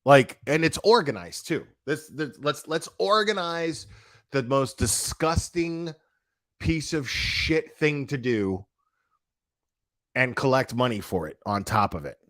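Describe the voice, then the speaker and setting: A man's voice: medium pitch, very animated, slow pace, a bit bright. A man ranting in a casual reaction video, blunt and profane, with lots of restarts and slangy fillers. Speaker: online commentator.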